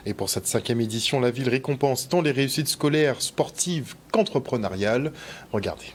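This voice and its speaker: A man, a news anchor, reading scripted news with smooth, measured delivery. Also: mid-range voice, expressive, slight background noise.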